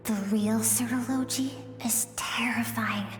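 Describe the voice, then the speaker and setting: A woman voicing a mysterious, knowing female guide in a video game, telling her story in a measured, confiding way. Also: on the high side, steady tone, natural voice. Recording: echoey long reverb, music underneath. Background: music.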